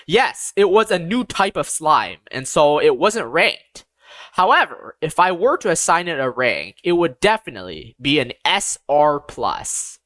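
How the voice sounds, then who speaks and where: Audiobook narrator, androgynous mid-range voice, animated intonation, deliberate scripted fantasy storytelling, very dry close sound. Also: mid-range voice, no echo.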